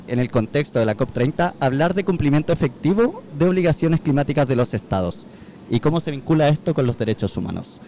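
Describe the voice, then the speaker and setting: A man moderating a live conference panel, courteous and brisk as he hands the microphone from speaker to speaker. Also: medium pitch, a little mellow, a little hiss or hum. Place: conference venue.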